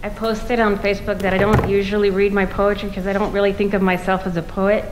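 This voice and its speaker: A woman's voice: mid-range voice, little pitch movement, brisk, noisy background. A middle-aged woman giving an informal spoken introduction to an audience from a stage. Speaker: poet introducing her reading. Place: stage in a meeting hall with seated audience. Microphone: stand microphone.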